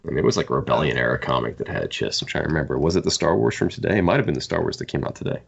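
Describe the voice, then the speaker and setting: A man's voice: extremely low pitch, rapid-fire, vocal fry, husky, highs cut off. A man co-hosting a podcast, talking through fan topics in a rambling, conversational way. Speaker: podcast co-host. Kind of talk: casual fan discussion.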